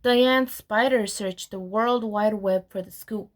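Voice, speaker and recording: A woman reading a children's storybook aloud in an expressive storyteller's manner, with deliberate pauses. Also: mid-range voice. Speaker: program administrator reading aloud.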